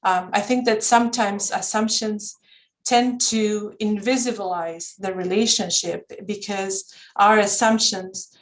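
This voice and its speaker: A woman's voice: mid-range voice, steady tone, measured pace. A thoughtful woman on a video call. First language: Spanish. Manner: thoughtful, searching for words with many restarts. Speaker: counselor speaking on a panel. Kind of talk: reflective conversational talk.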